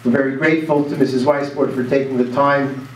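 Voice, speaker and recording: Man, formal school introduction, level monotone, slightly high voice, distant in echoey hall. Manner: measured, formal, even delivery. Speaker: school program presenter. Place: school auditorium. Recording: echoey hall, distant mic, faint noise.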